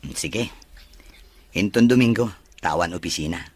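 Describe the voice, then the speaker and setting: A man playing an evasive, sweet-talking unfaithful husband with a husky voice in a melodrama. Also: low voice, normal speed, no echo. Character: smooth-talking cheating husband, evasive office worker. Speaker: actor. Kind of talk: melodrama dialogue.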